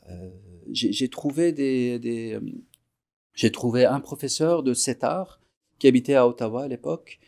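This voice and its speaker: A man in his forties from Iran whose first language is Persian, a musician guest recalling his past in a relaxed interview, unhurried and reflective, often pausing to search for words. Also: mid-range voice, creaky. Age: 40s.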